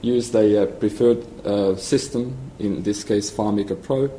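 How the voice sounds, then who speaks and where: A man with a Hungarian accent giving a conference presentation as a software engineer, hesitant and full of ums and restarts. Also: on the low side, moderate pace, roomy sound, a little hiss or hum, low-quality recording.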